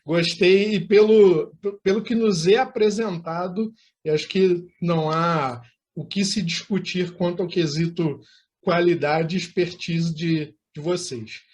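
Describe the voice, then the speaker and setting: High-pitched, husky Brazilian male host. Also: steady tone, measured pace, a little mellow. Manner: formal, florid wording. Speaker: interview host. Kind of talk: hosting an interview.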